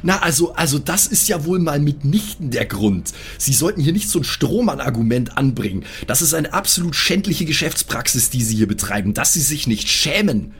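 A man playing a righteous, scolding adventurer in an improvised tabletop audio play, speaking in a pompous, formal, moralizing way with a husky voice. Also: on the high side, big pitch swings, normal speed, hardly any pauses, heavily acted. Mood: indignant.

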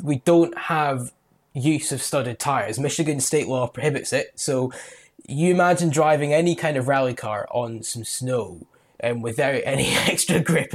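A young man with a mild Scottish accent, chatting as a guest writer on a motorsport podcast. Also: medium pitch. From Scotland. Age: young adult. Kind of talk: casual podcast commentary.

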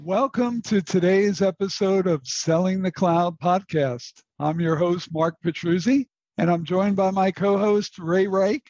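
A man's voice: high-pitched, fairly even intonation, a little slow, a little mellow, highs cut off. A man hosting a podcast, giving a formal, announcer-style welcome and introducing the guest. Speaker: podcast host.

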